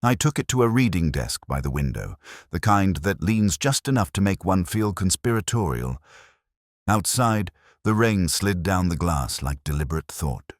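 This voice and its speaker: Very deep-voiced man narrating a mystery. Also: very animated, moderate pace, no room sound, clean studio sound. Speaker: narrator of a detective story. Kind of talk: scripted first-person literary mystery narration.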